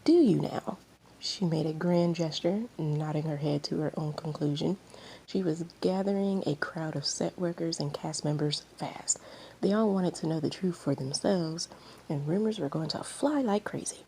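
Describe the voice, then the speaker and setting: Woman story reader, soft dark tone, lowish pitch, expressive narration, faint background noise. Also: moderate pace, rough recording. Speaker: fan fiction reader.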